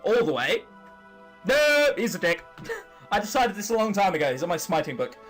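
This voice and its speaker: Animated high-voiced young Englishman. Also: moderate pace, faint music behind. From England. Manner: sarcastic, sweary, theatrical. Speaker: host reading and commenting on a visual novel. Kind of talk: playful read-aloud with wry asides.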